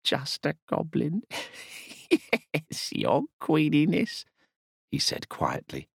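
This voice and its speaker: A man voicing a cheeky, stubborn little male goblin who talks in broken grammar with a slow, gravelly drawl. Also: a little high, huge pitch swings, no echo, studio quality, pitched above the performer's own voice, full character voice. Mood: sly.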